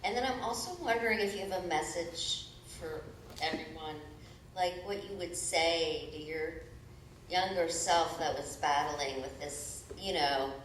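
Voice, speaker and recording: A woman in an audience casually asking a speaker a question. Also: low voice. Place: high school event space, indoors. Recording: roomy, distant mic with background noise.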